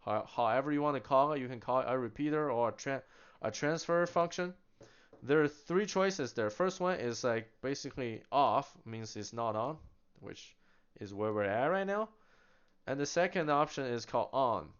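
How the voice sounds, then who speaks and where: Man, casual product demo, smooth voice, rhetorical questions, chatty, slightly muffled lo-fi audio. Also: mid-range voice, lively intonation, normal speed. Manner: explains with rhetorical questions he answers himself; chatty, with small laughs and restarts. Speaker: product demonstrator. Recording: slightly dull lo-fi sound.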